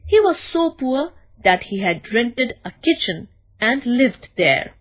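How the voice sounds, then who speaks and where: A woman narrating a scripted children's bedtime story in a deliberate, expressive way. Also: fairly high pitch, narrow, tinny audio.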